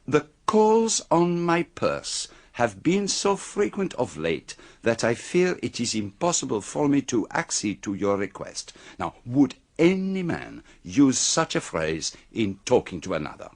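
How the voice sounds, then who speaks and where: A precise and theatrical detective from a radio mystery. His delivery is slow and deliberate, full of pauses, and his mid-range pitch moves expressively as he lays out a case with formal authority. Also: huge pitch swings, darkish tone, full character voice. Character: precise, theatrical male foreign detective revealing a murderer. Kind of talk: radio mystery drama acting.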